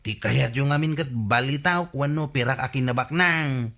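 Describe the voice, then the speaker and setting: An actor playing a boastful, easygoing village man in a radio comedy drama, with a teasing, drawn-out delivery. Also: medium pitch, sharp tone.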